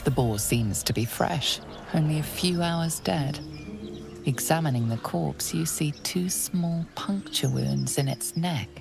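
A woman playing a solemn, ominous storyteller in a fantasy video game, speaking in a measured, hushed way. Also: low-pitched, vocal fry, over music. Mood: grave. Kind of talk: scripted game narration.

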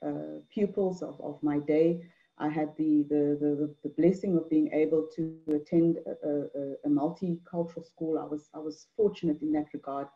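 A middle-aged woman from Mauritius gives an online talk on a video call, measured, with many fillers and repeated words. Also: sits low. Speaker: anthropologist and poet speaker. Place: indoor room at home. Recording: dull lo-fi video call audio.

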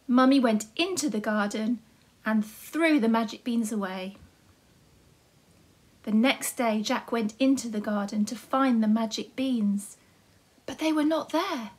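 A woman narrating a children's story as a nursery storyteller, with simple, deliberate phrasing meant for small children. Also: on the high side, slightly rough.

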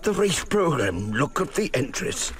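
An actor playing a concerned ally of a charioteer in a radio drama. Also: male voice, a little high, big pitch swings, a little slow.

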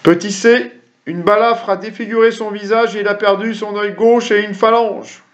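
A man's voice: high voice, steady tone, normal speed. A middle-aged man, hosting a quiz to camera with jokey, chatty asides to viewers. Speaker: quiz host. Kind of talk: reading quiz questions to camera. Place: small room at home. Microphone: webcam or computer mic at desk. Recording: dull lo-fi webcam sound.

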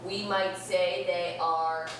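A young woman teaching an interactive read-aloud to young children, expressive and instructive, stressing key words slowly. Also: on the low side. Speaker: primary school teacher. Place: elementary classroom, indoors. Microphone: far camera. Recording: roomy, noisy classroom, distant camera microphone.

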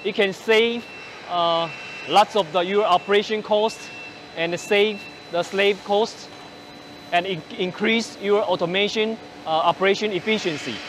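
A man in his forties presenting machinery on a large factory floor, speaking haltingly with many fillers and restarts, using a lapel mic. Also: high-pitched, fairly even intonation, a bit bright, slight background noise. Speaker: company presenter on a factory tour. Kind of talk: product walkthrough.

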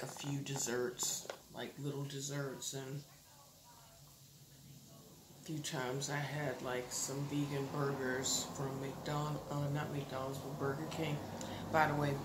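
A woman's voice: sits low, little pitch movement, measured pace. A woman in her thirties chatting casually to her camera at home, rambling with lots of fillers and restarts. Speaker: vlogger. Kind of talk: casual vlog chat. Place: living room, indoors. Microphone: camera close up. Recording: roomy echo, audible background noise.

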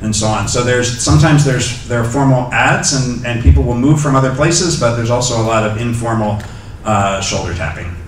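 A man's voice: mid-range voice, normal speed, slight background noise. A middle-aged man speaking at a podium into a handheld mic, answering audience questions hesitantly, with many ums and restarts. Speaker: guest lecturer. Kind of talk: lecture Q&A answers. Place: lecture hall with a podium. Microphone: handheld microphone. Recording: echoey hall audio from far off.

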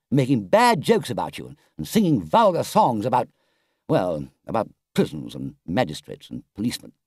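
A man's voice: mid-range voice, darkish tone, dry recording, studio quality, voice pushed up. A man voicing a sensible, loyal water rat from a storybook, with a firm, emphatic, scolding manner.

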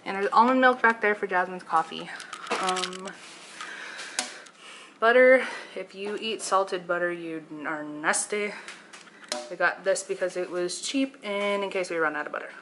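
A woman's voice: fairly low pitch, unhurried, background noise. A young woman vlogging at home, chatting casually and giving blunt, joking opinions while showing her groceries and pantry. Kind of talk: casual chat.

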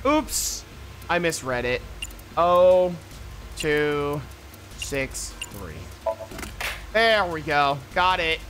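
A man with a fairly high, very animated voice gives casual commentary on a game. He reads on-screen text aloud and mutters to himself as he plays, over soft game music. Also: very slow, pauses often. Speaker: streamer playing a puzzle adventure game.

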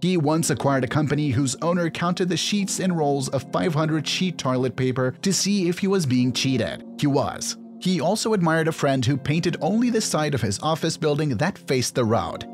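A man reading a scripted explainer narration in a measured, instructional manner. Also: fairly high pitch, animated. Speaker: video narrator. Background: background music.

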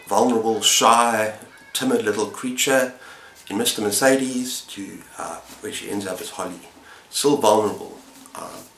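A man in his fifties with a fairly low voice talks casually to camera in the role of a book reviewer. He is enthusiastic and rambles a little. The room adds clear echo, with a faint layer of noise behind him. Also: a bit bright. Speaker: book reviewer and channel host. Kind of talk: casual chat to camera about books. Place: small indoor room with bookshelves.

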